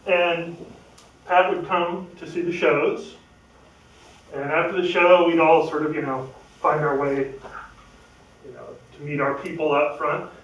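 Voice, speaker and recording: A man's voice: fairly high pitch, steady tone, a little slow, pauses often, a bit bright, highs cut off. A man sharing memories of a family friend at a memorial, hesitant and full of fillers, chuckling at times. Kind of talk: informal spoken remembrance. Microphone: no microphone, heard from a distance. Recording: echoey room, speaker far from the mic.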